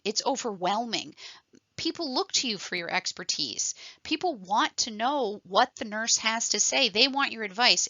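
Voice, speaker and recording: A woman's voice: medium pitch, bright, forceful tone, no room sound, muffled recording. A woman who works as a telephone triage nurse, giving a rambling, enthusiastic online presentation full of fillers and restarts. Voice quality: self-described voice like a frog puppet. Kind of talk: casual motivational presentation.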